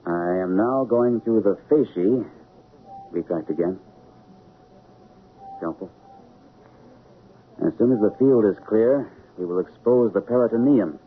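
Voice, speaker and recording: An actor playing an authoritative chief surgeon in an old radio drama, giving clipped commands and measured, formal explanations. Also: male voice, on the low side, natural voice. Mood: formal, instructive. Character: composed, authoritative male chief surgeon lecturing while operating. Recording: narrow, noisy old radio recording.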